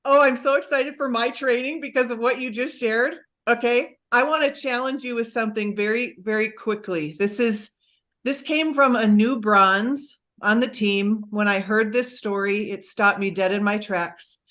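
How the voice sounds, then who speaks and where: Excited woman on a team video call. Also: on the high side, moderate pace. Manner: energetic, enthusiastic, storytelling. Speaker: presenter on a team training call. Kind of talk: excited motivational talk.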